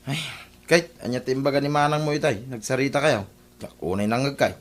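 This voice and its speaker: A man's voice: mid-range voice, fairly quick, close to an everyday voice. A man playing a put-upon, complaining fish-vendor husband in an Ilocano comedy radio drama, with an Ilocano accent. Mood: teasing. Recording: faint market crowd behind.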